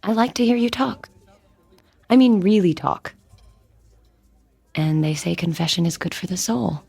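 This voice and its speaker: An actress playing a wry, guarded woman in an audio drama, speaking in a dry, deadpan way. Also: fairly low pitch, measured pace, creaky, husky, faint music behind, heavily acted. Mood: curious, coaxing.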